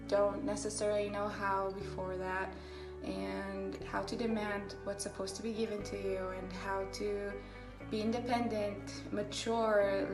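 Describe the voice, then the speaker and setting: A woman in her twenties with an Israeli accent, speaking earnestly and reflectively in an interview. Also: mid-range voice. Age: 20s. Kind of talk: interview testimonial. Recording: roomy echo with music behind.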